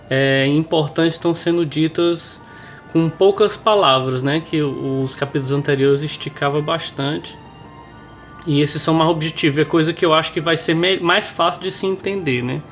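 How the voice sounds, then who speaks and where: Congested, hoarse Brazilian man, nasal voice. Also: on the high side. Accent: Brazilian Portuguese. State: hoarse, stuffed up with a cold. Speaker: presenter reading a religious book aloud. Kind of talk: informal remarks and devotional reading aloud.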